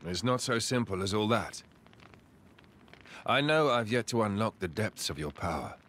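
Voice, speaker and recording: An actor playing a noble, earnest young prince in a video game, courteous, encouraging and polished in delivery. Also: male voice, fairly low pitch, animated, moderate pace, a bit bright. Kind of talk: video game cutscene acting.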